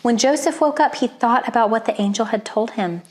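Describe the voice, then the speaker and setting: A woman's voice: on the high side, a little fast, a little mellow. Woman reading a children's storybook expressively. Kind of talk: expressive read-aloud children's storybook narration.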